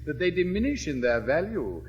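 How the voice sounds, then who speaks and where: An English actor in his fifties playing an elegant, witty young gentleman suitor with measured, polished delivery. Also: a little high, very animated, dull audio, slight background noise. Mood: courtly. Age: 50s. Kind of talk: 1960s classic stage recording.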